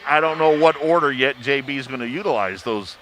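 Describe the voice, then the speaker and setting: A man who works as a play-by-play announcer, talking in a measured, explanatory way in a casual sports panel discussion. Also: mid-range voice. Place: large indoor arena. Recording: noisy arena ambience behind.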